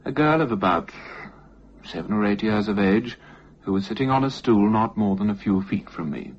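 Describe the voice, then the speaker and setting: A man reading a ghost story as scripted narration, in an expressive, theatrical manner. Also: on the low side. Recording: muffled, noisy lo-fi recording.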